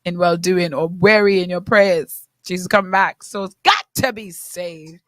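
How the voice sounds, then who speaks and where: A woman's voice: fairly low pitch, unhurried, dry recording. A woman with a British accent co-hosting a radio talk show, chatting casually and reading listener comments aloud with a wry edge. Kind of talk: casual chat. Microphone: studio microphone.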